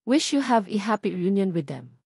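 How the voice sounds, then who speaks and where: A woman's voice: mid-range voice, big pitch swings, normal speed, darkish tone, husky, no echo. Audiobook role: vengeful husband read by a woman, cruel, gloating, emphatic threats, close dry sound. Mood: sadistic gloating.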